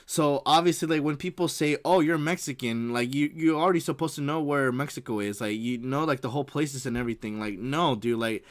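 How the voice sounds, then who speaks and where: A man from the United States talks his way through a reaction stream in a loose, rambling way. He keeps circling back on filler words. His pitch sits mid-range for a man. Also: a little fast, hardly any pauses. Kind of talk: casual reaction commentary.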